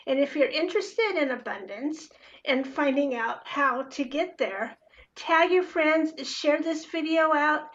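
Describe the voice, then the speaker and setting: Cheerful high-voiced woman host, lo-fi. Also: animated, unhurried, a bit bright. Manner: cheerful, welcoming. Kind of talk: show opening. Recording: dull lo-fi sound.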